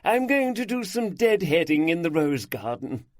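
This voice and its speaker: A man's voice: normal speed, no room sound, studio quality. A man voicing a chatty, gossipy older woman, an aunt showing detectives around her gardens. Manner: lively, raised pitch, gossipy.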